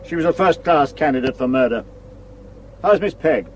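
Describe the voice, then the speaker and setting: An actor playing a shrewd, sardonic police superintendent in a radio play, dry and probing in manner. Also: male voice, on the high side, lively intonation, normal speed, slight background noise, natural voice, poor audio. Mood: affable, inquisitive.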